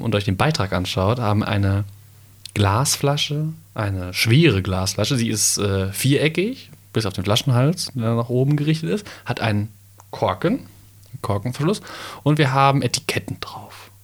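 A young man co-hosting a casual podcast, slowly and haltingly reading a bottle label aloud with many fillers and playful asides. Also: on the low side, expressive. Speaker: podcast co-host.

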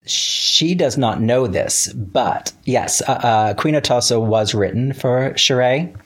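A man's voice: mid-range voice, lively intonation, slow pace. A man chatting casually as an interview guest, a writer who talks in stops and starts and often acts out bits of past conversations. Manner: chatty, hesitant false starts, quoting himself conversationally.

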